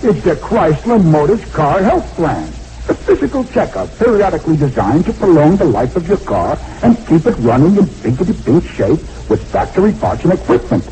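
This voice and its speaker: Pompous, high-pitched eccentric mechanic. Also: male voice, warm tone, rough recording. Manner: florid, grandiose, playful nonsense phrasing. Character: pompous, eccentric, exuberant male auto mechanic. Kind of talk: 1960s radio commercial comedy acting. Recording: vintage radio recording, faint noise.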